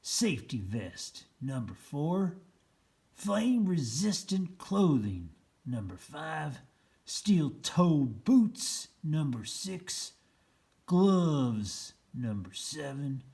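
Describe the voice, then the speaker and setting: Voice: mid-range voice, sing-song, extremely slow, mellow. A storyteller reading a children's picture book aloud in a theatrical, playful way.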